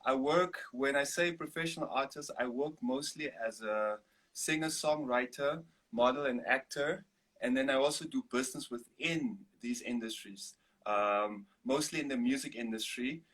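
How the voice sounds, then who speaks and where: Relaxed man from Namibia, Afrikaans native. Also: medium pitch. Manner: relaxed, rambling with restarts and laughs. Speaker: guest, singer-songwriter. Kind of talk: casual video-call interview.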